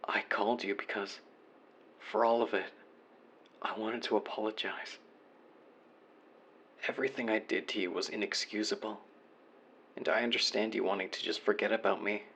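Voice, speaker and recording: A man's voice: on the low side, steady tone, normal speed, long pauses, pushed and bright, slightly rough, heavily acted. A man playing a remorseful young man leaving an apologetic voicemail to his former best friend, earnest and confessional in an audio roleplay monologue. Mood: pleading, apologetic.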